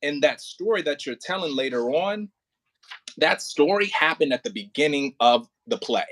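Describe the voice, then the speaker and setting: A man coaching an actor casually as an audio play director, thinking aloud in halting, repetitive phrases and sounding encouraging. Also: a little high, animated, a bit bright, no room sound.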